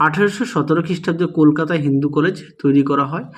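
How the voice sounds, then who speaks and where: A man's voice: fairly high pitch, rapid-fire. A man teaching, dictating exam answers and often repeating key points. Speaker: teacher presenting exam solutions. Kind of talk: instructional walkthrough of questions and answers.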